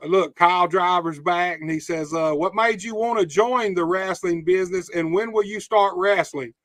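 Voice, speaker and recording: Man, high voice, sharp bright tone, blunt podcast host, dry close sound. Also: fairly even intonation, a little slow, dry recording. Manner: blunt, challenging, reads viewer comments aloud. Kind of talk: casual interview.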